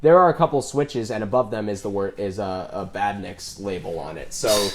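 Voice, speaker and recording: Male podcast co-host, casual film-chat style, animated and rambling storyteller. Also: fairly low pitch, normal speed, noisy background.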